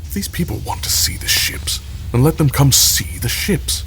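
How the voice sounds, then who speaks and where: A man's voice: a little high, lively intonation, normal speed, fairly bright tone, faint music behind. A man playing a proud, weary, melodramatic military director in a science fiction audio drama, formal and dry. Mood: firm, tense. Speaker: lead character.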